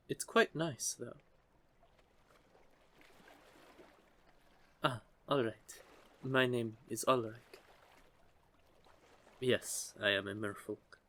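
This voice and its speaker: A curious young merman played by a man speaks in an intimate audio roleplay. His pace is very unhurried and his pitch sits in the middle for a man. He is gently formal and teasing, with the sheltered air of a youth eager to learn about humans. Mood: curious, polite. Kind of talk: scripted audio roleplay, intimate one-sided conversation.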